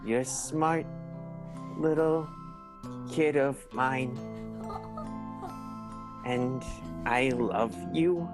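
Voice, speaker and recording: A woman playing a grieving companion who speaks quietly and haltingly in an improvised radio play. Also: a bass voice, over music, exaggerated voice. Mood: tender.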